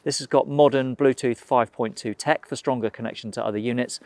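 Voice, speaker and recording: Man, fast-paced scripted product review, mid-pitched voice, dry close sound. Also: fairly even intonation, darkish tone, dry recording. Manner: brisk, informative, practical. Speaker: product reviewer for a motorcycle gear shop.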